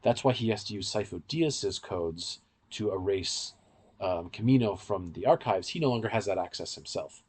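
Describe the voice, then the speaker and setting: A man's voice: lowish voice, expressive, moderate pace, a little mellow, slightly rough. A man co-hosting a podcast, giving casual, in-depth fan commentary in a rambling, explanatory way. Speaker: podcast co-host.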